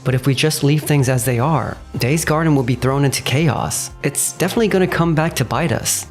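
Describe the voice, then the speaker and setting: A man's voice: medium pitch, a little fast, soft background music, natural voice. A man playing an earnest, responsible young businessman in a manga dub, narrating and speaking in character. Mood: composed, firm. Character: earnest, responsible 29-year-old businessman narrating his betrayal.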